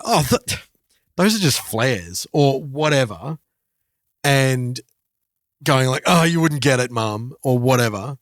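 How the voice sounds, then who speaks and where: A man in his forties chatting casually as a podcast host, in a halting way that repeats words as he thinks. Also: mid-range voice, no room sound, studio quality. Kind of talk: casual chat about music and culture.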